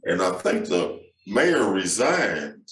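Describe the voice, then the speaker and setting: Man, deep voice, relaxed storyteller, distant and echoey recording. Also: slow. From Jonesboro, Tennessee. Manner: relaxed storytelling, laughs easily. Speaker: panel guest. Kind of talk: casual panel conversation. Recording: echoey room from far off.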